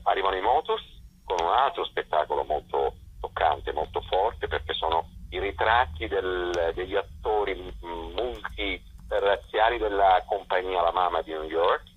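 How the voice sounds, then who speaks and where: A man speaking as a festival director presenting a programme on the radio, in a halting way with frequent pauses. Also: low-pitched, husky. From Italy. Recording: muffled, lo-fi radio or telephone sound.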